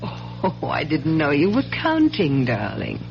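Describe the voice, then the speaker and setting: Cool sardonic wife, swooping delivery. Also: female voice, deep voice, wildly swooping pitch, slow, vocal fry, over loud music, full character voice. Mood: cool, taunting. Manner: arch, teasing, coolly sarcastic. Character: cool, defiant, sardonic modern young wife. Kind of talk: radio drama acting. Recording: dull vintage radio recording.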